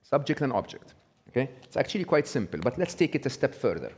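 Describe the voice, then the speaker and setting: A man's voice: medium pitch, big pitch swings, fast, darkish tone, husky. A middle-aged man giving a live talk, conversational, checking in with the audience with a frequent "okay?". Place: small indoor venue with brick wall.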